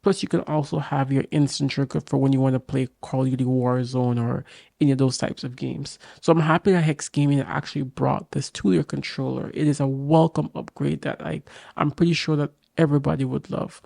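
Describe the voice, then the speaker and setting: A young man with a raspy voice from a cold, casually and eagerly reviewing a game controller to camera in a small home studio. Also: medium pitch, steady tone, fairly quick, mellow, dry recording. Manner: enthusiastic, talks straight to camera, many fillers. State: getting over a cold. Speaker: tech reviewer. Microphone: boom mic on arm.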